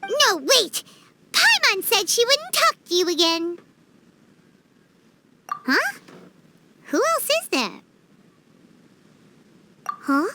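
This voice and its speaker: A woman voicing a tiny, excitable floating fairy girl in a video game, with exaggerated reactions. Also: very high voice, extremely slow, long pauses, heavy background noise, heavily acted. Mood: surprised, smug. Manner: refers to herself in third person, exaggerated reactions. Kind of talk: animated game dub acting.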